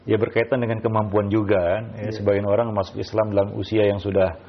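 A man with a gravelly, creaky voice teaches religion in answer to questions. He speaks haltingly, often tagging his phrases and slipping into Arabic quotations. The sound is muffled and lo-fi. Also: on the low side, little pitch movement. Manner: halting, repeats filler tags, quotes Arabic phrases. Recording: muffled, dull lo-fi audio.

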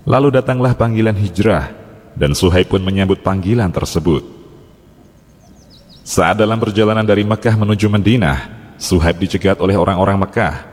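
A man narrates a religious story in a calm, measured way, reading from a script. His voice sits a little low. A long reverb hangs behind his words.

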